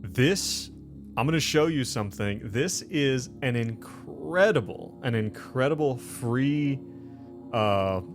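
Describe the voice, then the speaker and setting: A man's voice: medium pitch, lively intonation, takes it slowly, faint music behind. A man hosting a tabletop game as game master, explaining and narrating in a casual conversational style. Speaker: game master and show host.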